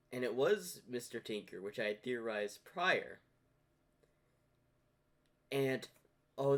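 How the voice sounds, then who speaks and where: A man hosting a reaction video, giving excited, rambling commentary. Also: medium pitch.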